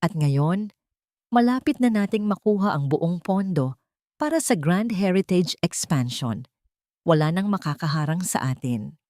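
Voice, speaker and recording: A woman's voice: fairly low pitch, expressive, unhurried, mellow, dry recording, studio quality. A woman voicing a scheming, gloating young mistress in an audiobook drama, with a smug manner.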